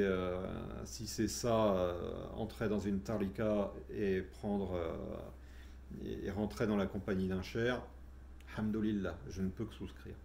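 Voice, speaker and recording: A man's voice: fairly low pitch, creaky, a little mellow, a little hiss or hum. A middle-aged man telling his personal story in a casual, hesitant way, with many fillers and restarts. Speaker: vlogger telling his spiritual journey.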